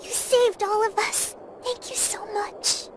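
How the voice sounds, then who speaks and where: Very high-voiced earnest girl. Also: fairly even intonation, slow. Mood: grateful. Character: earnest, gentle, distressed young girl. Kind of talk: video game cutscene acting. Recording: very noisy game audio.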